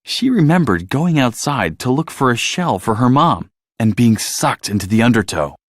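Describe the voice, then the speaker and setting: A man reading a children's bedtime story as narrator, in an expressive, scripted storytelling style. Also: medium pitch, normal speed, no echo, studio quality.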